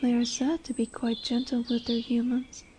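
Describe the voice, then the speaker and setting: A woman voicing a dominant lamia in a roleplay audio, curious and condescending yet nurturing, speaking deliberately with teasing, soothing near-whispers. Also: fairly high pitch, little pitch movement, mellow, a little hiss or hum, natural voice. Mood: commanding, enticing. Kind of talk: slow roleplay audio monologue addressed to the listener. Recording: birdsong and woodland ambience behind. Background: birdsong, forest ambience.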